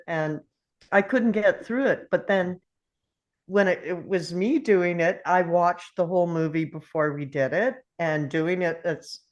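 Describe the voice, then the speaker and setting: A woman chatting casually as a participant in a script table read, in a giggly manner. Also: fairly low pitch. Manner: giggly, laughs between remarks. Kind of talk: casual chat.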